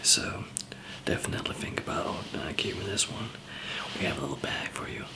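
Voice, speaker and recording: A man softly whispering and murmuring in an ASMR roleplay, explaining things gently and haltingly as a survivor. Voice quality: soft whispering mixed with low murmur, gravelly. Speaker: ASMR roleplayer playing a survivor camp member handing out supplies.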